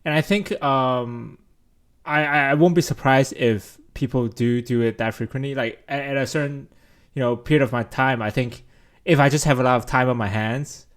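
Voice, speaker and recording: A man's voice: mid-range voice, normal speed. A man with an ordinary mid-range voice, chatting easily on a podcast. He speaks casually and keeps giving short agreeing murmurs while others talk. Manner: relaxed, agreeable, answers with short mm-hmms. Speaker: podcast co-host. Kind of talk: casual chat.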